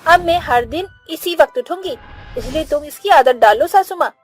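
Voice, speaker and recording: A woman's voice: sits high, animated, normal speed, soft background music. A woman voicing an insolent, scheming young daughter-in-law in a Hindi cartoon story, brazen and scornful. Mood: defiant.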